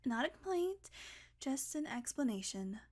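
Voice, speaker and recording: Snarky, high-voiced vampire woman, acted out. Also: sing-song, measured pace, a little mellow, full character voice. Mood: reassuring, coaxing. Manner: sardonic, casual, swearing banter. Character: snarky, teasing female vampire, centuries old, grudgingly caring. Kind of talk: intimate audio roleplay addressed to the listener.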